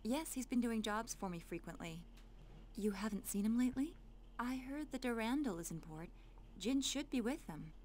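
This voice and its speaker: A woman's voice: on the high side, expressive, normal speed, a little mellow, a little hiss or hum, lightly acted. An actress playing a friendly, self-assured adult woman scientist in a video game cutscene, conversational and familiar in manner. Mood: friendly, sympathetic.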